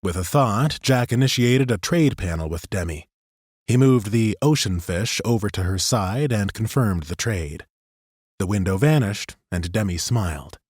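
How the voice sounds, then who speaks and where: A male narrator reading a fantasy novel aloud in a studio booth. His voice sits fairly low, and his storytelling is steady and even. The sound is dry and close to the mic. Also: measured pace, husky, dry recording. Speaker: audiobook narrator. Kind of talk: scripted fantasy audiobook narration.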